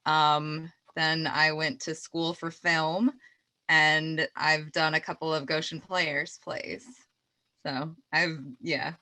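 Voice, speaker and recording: A woman's voice: sits low, little pitch movement, takes it slowly, bright, forceful tone, no echo. A woman actor answering casually and matter-of-factly as an interview guest.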